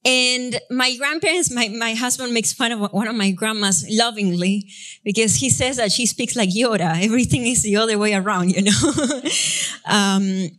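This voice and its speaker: A woman's voice: a little high, normal speed, fairly bright tone. A young adult woman pastor from Argentina with an Argentine Spanish accent, Spanish her first language, leading prayer and then preaching in a chatty, self-deprecating way with frequent laughs. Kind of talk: sermon with congregational prayer. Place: church sanctuary.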